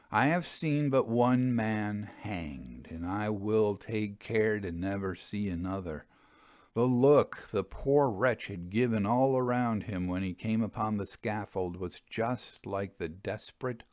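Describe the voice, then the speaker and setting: A man with a lowish voice reads a Victorian ghost tale as an audiobook narrator. His delivery is measured, expressive and dramatic, and the recording is dry and close. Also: no echo. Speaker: storyteller, narrator.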